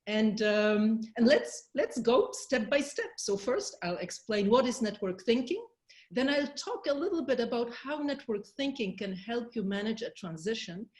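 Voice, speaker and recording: A woman's voice: fairly high pitch, sing-song, normal speed, a little mellow, echoey room. A woman hosting and presenting an online webinar over a video call, friendly and guiding, laughing often. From Poland. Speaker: webinar host and speaker.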